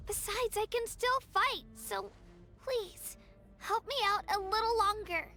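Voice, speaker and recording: A woman playing a plucky, earnest young girl adventurer in an animated fantasy video game. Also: very high voice, measured pace, soft background music, exaggerated voice. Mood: determined, pleading.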